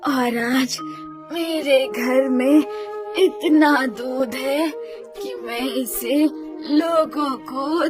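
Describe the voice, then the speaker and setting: A poor, kindhearted old village grandmother acted by a woman speaks in a high, drawn-out, emotional voice. It is a children's storybook character, full of sorrow and wonder, with music underneath. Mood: grateful wonder. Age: older. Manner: slow, emotional, drawn-out delivery.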